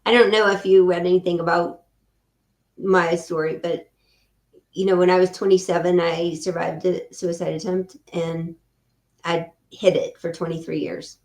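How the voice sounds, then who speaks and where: A woman's voice: lowish voice, flat delivery, normal speed, darkish tone, roomy sound. A woman in her fifties hosting a podcast, speaking earnestly and supportively to her guest. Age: 50s. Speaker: podcast host. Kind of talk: podcast interview.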